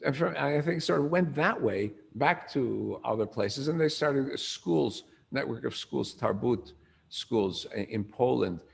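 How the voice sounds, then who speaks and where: An older man's gravelly, mid-pitched voice carries an informal lecture. He talks like a storyteller, puts questions to the room and then answers them. His emphasis jumps up and down from phrase to phrase. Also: lively intonation, unhurried.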